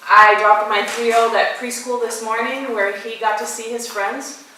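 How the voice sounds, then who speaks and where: A woman's voice: fairly high pitch, fairly even intonation, moderate pace, bright, forceful tone, a little hiss or hum. A woman, a candidate, giving a direct, plain-spoken campaign statement. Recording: echoey hall, voice from far off.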